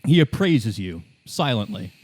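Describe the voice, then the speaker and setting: A man's voice: on the low side, very animated, a little slow, a little mellow, slightly rough, dry recording, faint music behind. A man working as game master, narrating a tabletop story in a conversational, improvised way.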